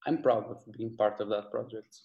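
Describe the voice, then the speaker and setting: A man from Portugal with a Portuguese accent, a guest video editor who talks in a halting way, full of restarts and fillers. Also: lowish voice, vocal fry, roomy sound, highs cut off. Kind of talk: casual interview chat.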